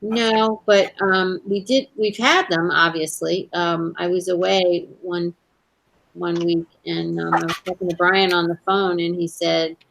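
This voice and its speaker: A woman's voice: on the low side, steady tone, unhurried, creaky, fairly bright tone, low-quality recording. A woman from Brooklyn with a Brooklyn accent, chatting casually as host with a friend and online viewers. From Brooklyn, New York. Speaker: host of a live crafting hangout.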